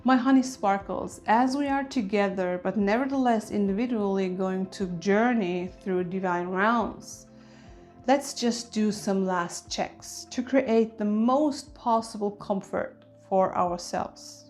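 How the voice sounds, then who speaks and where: A woman guides a meditation in a gentle, soothing ASMR manner. She takes her time, leaving deliberate space between phrases at a very slow pace. Her voice sits at a medium pitch, with soft music behind it. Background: soft music with chimes.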